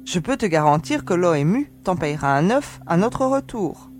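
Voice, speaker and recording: A woman acts out a self-assured young female space adventurer in an amateur audio drama. Her voice sits slightly low, with a direct, teasing manner, and faint music plays behind her. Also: very animated, moderate pace, a little mellow. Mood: reassuring, practical.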